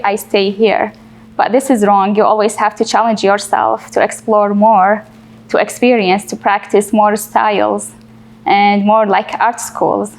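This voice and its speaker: A young woman from Iraq talks as an interview guest, her voice carrying a noticeable gravelly fry. She answers earnestly, in simple and direct phrasing. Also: mid-range voice, a little mellow.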